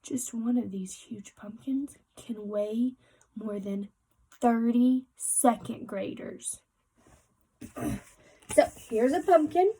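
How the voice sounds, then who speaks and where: A woman's voice: on the high side, takes it slowly, pauses often, warm tone, slightly rough, rough recording. A woman reading a children's picture book aloud like a teacher, adding explanatory asides and speaking directly to young listeners.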